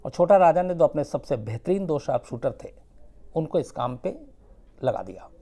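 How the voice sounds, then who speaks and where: A man telling a true-crime story without a script, conversational and suspenseful, with dramatic pauses. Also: a little high, expressive, warm tone.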